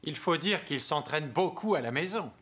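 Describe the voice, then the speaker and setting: An actor playing a kind, patient guardian of a pet monkey in a cartoon, friendly and earnest. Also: male voice, a little high, lively intonation, normal speed, heavy background noise, close to an everyday voice. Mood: cheerful.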